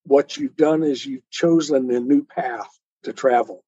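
A man's voice: medium pitch, measured pace, dark tone, husky. A man speaking as an interview guest, earnestly explaining spiritual ideas step by step in a didactic way. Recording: muffled, roomy, lo-fi sound.

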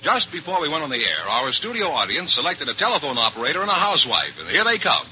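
A man's voice: on the high side, huge pitch swings, quick pace, pushed and bright. A man announcing in a polished 1940s radio style. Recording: muffled, faintly noisy old radio broadcast.

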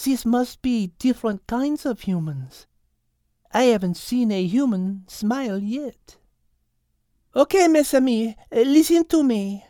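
A woman voicing a thoughtful, logical female cat with a French accent, speaking in a measured, precise way in an audiobook. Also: on the high side, expressive, warm tone, no room sound, clean studio sound. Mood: wondering. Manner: measured, precise, reasoning aloud. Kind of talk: audiobook character acting.

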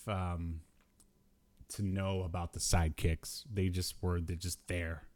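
A man with a fairly low voice co-hosts a casual podcast about pop culture. His delivery is halting and hesitant, as he feels his way toward each point in loose, conversational talk. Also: little pitch movement, a little mellow. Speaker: podcast host. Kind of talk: casual chat about retro cartoons.

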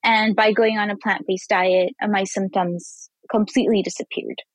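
Woman from Mexico, vegan chef guest; lively storyteller who acts out other people's lines, mid-pitched, dry close mic. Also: normal speed, no echo, rough recording. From Mexico, raised on the Texas border. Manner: animated storyteller who acts out quoted voices and laughs often. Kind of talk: casual interview conversation.

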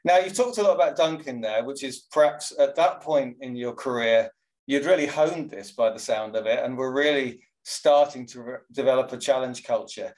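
A man's voice: medium pitch, moderate pace, a little mellow, rough recording. A man interviewing a guest, asking inquisitive questions built up in layers.

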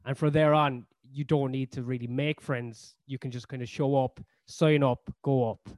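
A man's voice: medium pitch, fairly even intonation, normal speed, darkish tone, dry recording. A man with a Cork Irish accent, a comedian telling stories in a casual interview, rambling with lots of fillers and casual swearing. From Ireland. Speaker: comedian guest.

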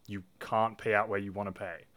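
A man's voice: lowish voice, little pitch movement, a little fast, fairly bright tone, no echo. A man hosting a casual podcast chat in an informal, self-effacing, joking way. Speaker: podcast host.

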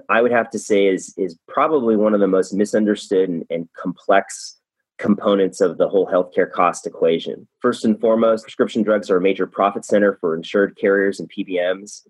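A man's voice: low-pitched, slightly rough, no room sound, poor audio. A man hosting a podcast interview, upbeat and chatty, often repeating words as he talks. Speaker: podcast host.

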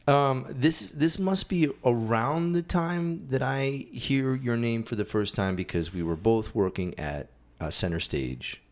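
A man's voice: mid-range voice, expressive, unhurried, hardly any pauses. A man hosting a casual interview, asking rambling questions and teasing his guest. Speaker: podcast host.

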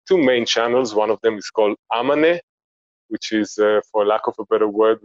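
A man in his forties with an Israeli accent, a podcast guest speaking slowly and reflectively from home, often searching for words. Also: on the low side, no echo, muffled recording. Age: 40s. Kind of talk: podcast interview. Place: home, remote interview.

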